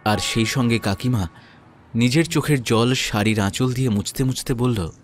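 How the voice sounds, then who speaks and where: A man narrating a first-person audio story and acting its dialogue, in a measured, earnest way. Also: medium pitch. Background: new-age music.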